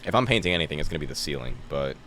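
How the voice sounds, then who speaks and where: Young jersey guy, real low voice, chill comedian talk, cusses a lot. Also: animated, very fast, bright, forceful tone, slight background noise. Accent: New Jersey. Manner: laid-back, joking, lots of swearing. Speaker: stand-up comedian guest. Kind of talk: casual podcast chat.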